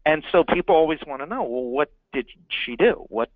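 A man's voice: on the high side, expressive, normal speed, dry recording, phone-quality sound. A man giving a business marketing lesson as a consultant, speaking emphatically and repeating words for stress. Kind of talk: business training talk.

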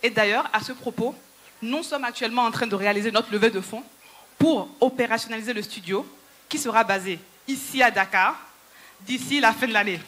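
A woman's voice: fairly high pitch, fairly quick, fairly bright tone, slight background noise. A woman in her thirties, Yoruba her first language, giving a rallying stage talk to a conference audience on a handheld microphone in a large hall. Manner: persuasive, rallying, addresses the audience directly. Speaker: entrepreneur and speaker.

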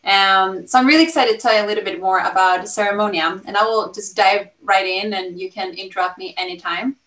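A woman in her thirties from Sweden with a Swedish accent, pitching her startup on a video call in an upbeat, fluent way with frequent ums. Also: fairly even intonation, a little fast, a bit bright. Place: home room, indoors. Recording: roomy and slightly distant.

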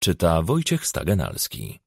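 A man reading an audiobook in an expressive, scripted storytelling style. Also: sits low, a little slow, vocal fry, slightly rough, no room sound, studio quality. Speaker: audiobook narrator.

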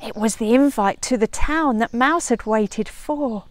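Female early years reader for picture books: expressive, slow-paced, fairly high pitch. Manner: expressive, measured storytelling. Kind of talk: children's storybook read-aloud. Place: outdoors in a leafy woodland garden.

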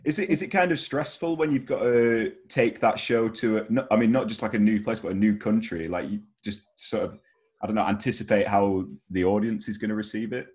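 A young man with a fairly low voice hosts a casual interview. He speaks informally and a little haltingly, on a headset over a video call. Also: darkish tone. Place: home room, video call.

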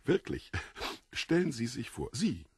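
An actor playing a mysterious foreign stranger, the Devil in disguise, courteous and theatrical, relishing morbid details in an audiobook drama. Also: male voice, mid-range voice, huge pitch swings, measured pace, breathy edge, no echo, clean studio sound, exaggerated voice. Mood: mockingly courteous.

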